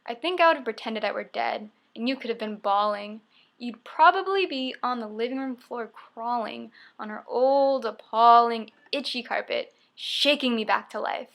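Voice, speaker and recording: A woman's voice: a little high, moderate pace, gravelly, a bit bright. A young woman performing a spoken-word poem, reciting expressively in short, emphatic phrases. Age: young adult. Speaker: poet. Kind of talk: spoken-word poetry reading.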